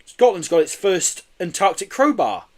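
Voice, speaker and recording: Man acting a noisy, shrill young boy. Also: animated, moderate pace. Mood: curious, cheerful. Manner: shrill yelling.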